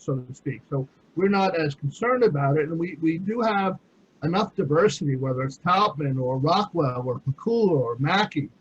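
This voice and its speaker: Higher male voice, businesslike, restarts often, lo-fi call audio. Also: slight background noise. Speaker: panelist, producer. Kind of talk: casual panel discussion.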